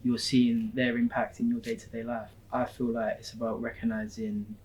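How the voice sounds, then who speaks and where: A young man, a student, speaking informally and relaxed on a panel. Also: medium pitch, moderate pace. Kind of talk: panel discussion. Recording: slightly dull sound.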